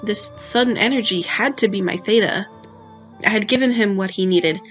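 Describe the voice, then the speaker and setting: Over a music bed, a woman acts a frank, wary young female rebel. She tells her story in the first person, confessional and dramatic, with a medium-pitched voice, as in an audio storybook. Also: moderate pace, close to an everyday voice. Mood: uneasy, awed. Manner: confessional, storybook-style telling. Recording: music behind the voice.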